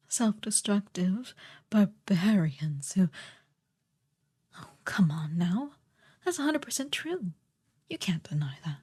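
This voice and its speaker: A woman playing a haughty, sardonic evil sorceress queen in a soft, breathy, imperious voice for a fantasy ASMR roleplay. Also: medium pitch, full character voice. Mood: haughty exasperation. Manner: imperious, theatrically disdainful, drawn-out delivery.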